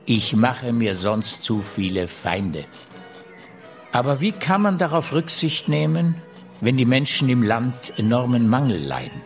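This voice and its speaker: An actor playing a grave, courtly French finance minister, reading his letter aloud in a measured, articulate radio drama monologue. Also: mid-range voice, sing-song. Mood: earnest, concerned. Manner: measured, deliberate, articulate delivery. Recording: studio radio drama with music. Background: music.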